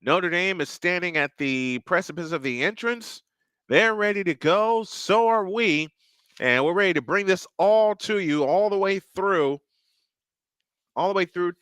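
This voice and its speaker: Bright, crisp male sports streamer. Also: on the high side, measured pace, dry recording. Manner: chatty, earnest, sometimes restarts phrases. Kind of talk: live play-by-play style commentary.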